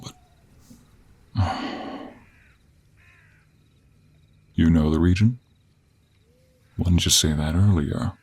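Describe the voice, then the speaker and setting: A man playing a gruff, teasing, weary 49-year-old cowboy monster hunter in an intimate audio roleplay, with a husky Western American drawl. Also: deep voice, exaggerated voice. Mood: wry, musing. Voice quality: gravelly, husky. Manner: slow, drawling, with heavy sighs and pauses. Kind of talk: intimate audio roleplay acting, addressed to the listener.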